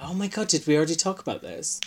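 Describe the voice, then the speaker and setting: A man's voice: a little high, sing-song, quick pace, a little mellow. A man co-hosting a casual chat, talking in a chatty, teasing way and steering the conversation. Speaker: podcast co-host.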